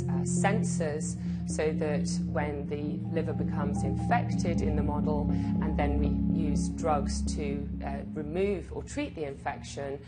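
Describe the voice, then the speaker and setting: A woman giving a measured, slightly hesitant technical explanation as a company representative. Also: deep voice. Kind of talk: explanatory interview talk. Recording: music playing behind the voice. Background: prominent background music.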